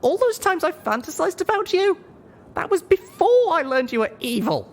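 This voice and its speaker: A man's voice: big pitch swings, normal speed, heavily acted. A man voicing a bashful, flustered young female pony heroine who pleads and stammers, in an audiobook story. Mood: flustered, embarrassed. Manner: stammering, squeaky protests.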